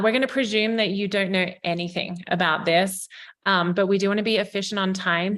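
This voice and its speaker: A woman's voice: mid-range voice, a bit bright. A woman in her forties with an Australian accent, a developer giving an upbeat, informal presentation to a town council over a video call, with plenty of ums. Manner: upbeat, selling, frequent ums.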